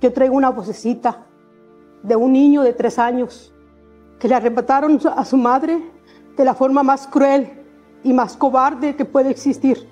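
Grieving mother, raw and insistent, mellow and fairly high, speaking off the cuff into a handheld mic. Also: steady tone, moderate pace, dull audio, soft background music. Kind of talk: unscripted public speech. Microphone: handheld microphone.

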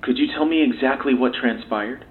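An actor playing a businesslike interviewer pressing for answers in an audio drama debriefing. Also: male voice, mid-range voice, normal speed, lightly acted. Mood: probing. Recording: dull interview tape with hiss.

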